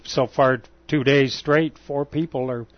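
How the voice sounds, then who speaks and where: A man's voice: medium pitch, fairly even intonation, measured pace, no echo, muffled recording. Dry-humored male radio co-host. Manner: dry, joking asides. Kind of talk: casual radio chat.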